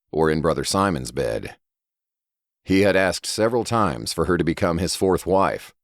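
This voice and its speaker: A man's voice: sits low, no echo, studio quality. A man reading scripted horror fiction aloud as a dramatic narrator. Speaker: audiobook narrator.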